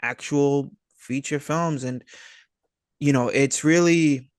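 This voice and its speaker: A man's voice: mid-range voice, slow pace, dry recording, studio quality. A man chatting casually as a filmmaker guest in an interview, hesitant and full of slang like dude and man. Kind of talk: casual chat.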